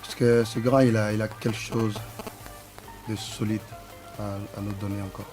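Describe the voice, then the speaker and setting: Low-voiced man, halting speech. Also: steady tone, a little mellow, soft background music, poor audio. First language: Sara. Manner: halting, repeats words while searching for them. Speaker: musician guest. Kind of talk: casual interview answers.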